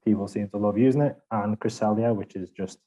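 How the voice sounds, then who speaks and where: Man, low-ish voice, warm and mellow, casual podcast panelist, rambling, self-deprecating. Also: fairly even intonation, fairly quick. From Liverpool, England. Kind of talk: casual gaming chat.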